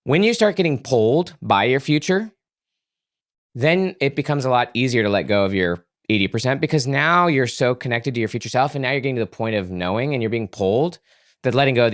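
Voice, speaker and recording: A man's voice: mid-range voice, a little fast, no echo, clean studio sound. A man speaking as a podcast guest, explaining ideas in an earnest, emphatic way and repeating words for emphasis. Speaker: podcast guest, author and psychologist. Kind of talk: conversational explaining in an interview.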